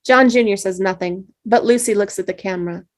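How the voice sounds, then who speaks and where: A woman reading the stage directions at a script table read, steady with small slips. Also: medium pitch, brisk.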